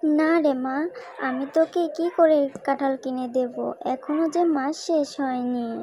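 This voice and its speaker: A woman voicing a patient, motherly fox in a children's cartoon, explaining things gently. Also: sits high, fairly even intonation, measured pace. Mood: patient, apologetic. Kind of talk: children's cartoon story voice acting.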